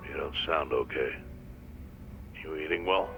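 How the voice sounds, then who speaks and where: An actor playing a stern but caring older yakuza chairman on a phone call in a video game, terse and calm. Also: extremely low pitch, a little slow, vocal fry, over music, heavily acted. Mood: calm, concerned. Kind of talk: dramatic video game dialogue.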